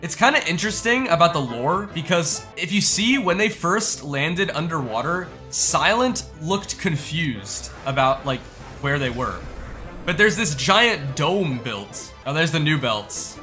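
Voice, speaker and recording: Animated, bright-voiced young man reacting casually. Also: a little high, unhurried, faint music behind. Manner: informal, slangy, thinking aloud. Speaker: gaming YouTuber reacting to trailers.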